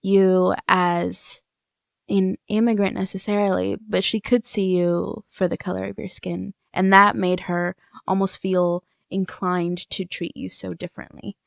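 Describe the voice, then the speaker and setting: A woman interviews in a conversational podcast style, with a medium-pitched voice recorded dry. She speaks in a halting way, reworking her phrases and affirming what she hears. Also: little pitch movement, gravelly, no room sound.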